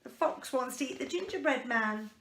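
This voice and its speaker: A woman's voice: sits high, animated, moderate pace, fairly bright tone, roomy sound, a little hiss or hum. A woman reading a picture book aloud to children in a chatty way, prompting them with simple questions. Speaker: children's storyteller.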